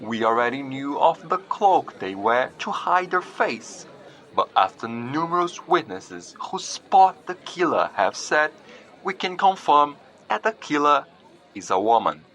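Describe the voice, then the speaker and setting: A man's voice: on the high side, very animated, a little slow, fairly bright tone, poor audio. A man playing a formal morning news anchor reading a bulletin in an audio drama. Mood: serious.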